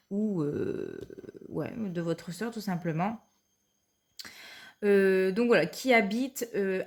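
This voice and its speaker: A woman with a cold giving a weekly card reading to her viewers, hesitant and full of fillers. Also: medium pitch. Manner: hesitant, many fillers, repeats "tout simplement". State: has a cold. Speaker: card reader.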